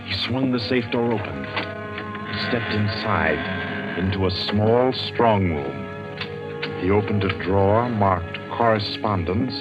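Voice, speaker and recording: A man announces and narrates a 1940s radio suspense drama in a dramatic, ominous, measured manner with suspenseful pauses. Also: lowish voice. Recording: muffled vintage radio broadcast. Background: loud dramatic orchestral music.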